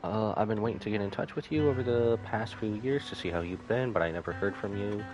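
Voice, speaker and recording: A lonely, forgiving older mother from a game story voiced by a male streamer. She is tender and motherly, low in pitch. Clear music runs beneath the words. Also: fast, dull audio, natural voice. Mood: tender, sorrowful. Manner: gentle, motherly. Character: lonely, forgiving elderly mother of a lost soldier. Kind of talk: game dialogue read aloud by a streamer. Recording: music behind the voice.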